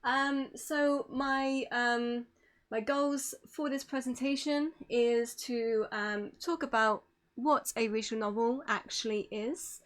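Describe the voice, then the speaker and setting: A woman's voice: on the high side, fairly even intonation, takes it slowly. A woman giving an informal online presentation as a streamer, polite and apologetic in manner.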